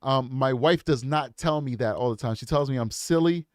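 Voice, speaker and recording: Hoarse man hosting a livestream. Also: mid-range voice, moderate pace, no echo, clean studio sound. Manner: upbeat, repeats himself, frequent ums. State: hoarse, losing his voice. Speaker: true crime livestream host. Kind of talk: casual livestream chat reading super chats.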